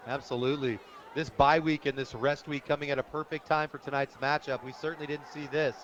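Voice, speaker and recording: A high-voiced American man gives live football play-by-play for radio. He is animated, colloquial and free with his opinions. The sound is muffled, with clear outdoor stadium noise behind him. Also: normal speed. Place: stadium press booth, radio broadcast.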